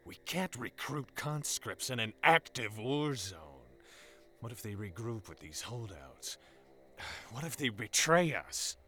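A wary warband officer, played by a man, voices his doubts in an audio drama. His voice is forceful and sharp, his delivery slow and questioning. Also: medium pitch, very animated. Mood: worried, doubting. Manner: cautious, questioning. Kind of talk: scripted audio drama acting.

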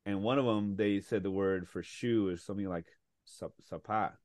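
A man's voice: on the low side, normal speed, darkish tone, dry recording, clean studio sound. A man hosting a podcast, reading a guest introduction and then chatting eagerly with many fillers. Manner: chatty, enthusiastic, with frequent fillers. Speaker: podcast host. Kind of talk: casual interview chat.

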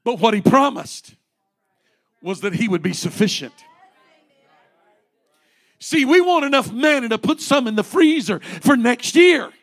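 A man's voice: extremely high pitch, big pitch swings, a little slow, pauses often, fairly bright tone. A man in his late forties, a pastor preaching a fervent sermon with rising repeated refrains. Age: 40s.

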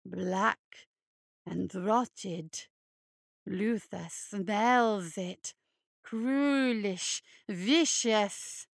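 A woman's voice: medium pitch, very slow, creaky, no room sound, studio quality. A woman voicing a huge, hungry, blood-soaked male hare monster who murmurs in broken grammar. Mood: menacing.

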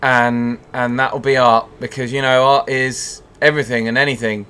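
A man's voice: medium pitch, little pitch movement, normal speed, pushed and bright, clear, resonant tone. A man chatting casually and comically on a podcast, wry and wordy in a mock-grandiose way. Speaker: podcast contributor. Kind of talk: casual comedic chat.

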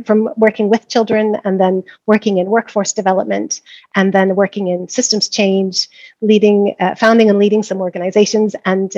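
A woman's voice: medium pitch, a little mellow, no echo, lo-fi sound. A middle-aged woman from Canada, a professor of practice being interviewed, talking thoughtfully with many restarts and fillers. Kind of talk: conversational interview.

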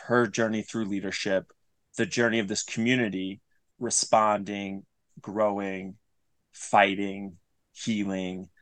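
A man with a fairly low voice talks as a guest in an interview. He explains things earnestly and conversationally, circling back to restate phrases. The sound is very dry, with no room around it. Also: no room sound. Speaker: interview guest, audio drama creator.

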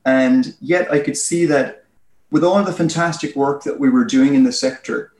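An Irish man speaks as an interview guest, explaining things in an articulate, storytelling way. His pitch is mid-range. The sound is roomy, with clear echo. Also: moderate pace, darkish tone. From Ireland. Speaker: guest, head of a research firm. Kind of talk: conversational interview answers.

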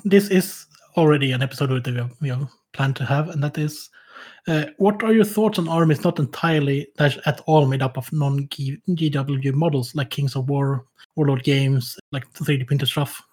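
A man co-hosting a casual hobby podcast, speaking with a level, flat delivery. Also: medium pitch, normal speed, slightly rough. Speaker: podcast co-host.

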